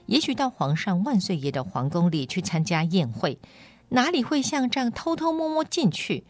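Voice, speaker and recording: A woman's voice: mid-range voice, very animated, normal speed, dry recording, pitched above the performer's own voice. A woman voicing a haughty, indignant young noble girl in a storybook, complaining fiercely. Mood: haughty, annoyed.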